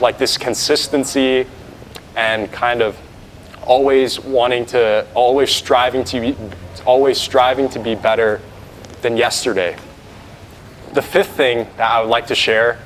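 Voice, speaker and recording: A man's voice: mid-range voice, steady tone, measured pace, pauses often, fairly bright tone, slight background noise, rough recording. A seventeen-year-old boy from the United States, a novice monk, talking earnestly to camera with fillers and restarts. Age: teens. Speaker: novice monk sharing his experience. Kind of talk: casual talk to camera.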